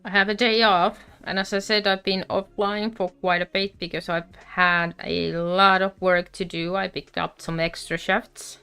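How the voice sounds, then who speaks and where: Woman, smooth low voice. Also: little pitch movement, bright, forceful tone, soft background music. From Finland. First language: Finnish. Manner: chatty and unhurried, with frequent fillers. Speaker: crochet livestream host. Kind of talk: casual live crafting chat.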